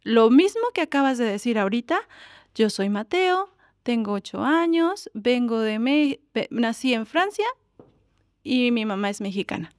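A woman's voice: a little high, lively intonation, a little slow, no echo. Patient woman radio host, dry sound. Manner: patient, coaxing, prompts a child step by step. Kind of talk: community radio presenting and interviewing.

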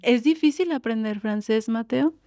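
A woman's voice: on the high side, moderate pace, mellow, no room sound. A woman hosting a community radio show, patiently coaxing a young guest through a short lesson. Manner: patient, coaxing, prompts a child step by step. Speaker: radio host. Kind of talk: community radio presenting and interviewing.

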